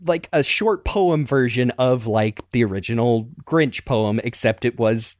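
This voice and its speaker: A man in his thirties reminiscing casually as a podcast host in an animated, rambling, self-deprecating way. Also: mid-range voice, measured pace, no room sound, studio quality.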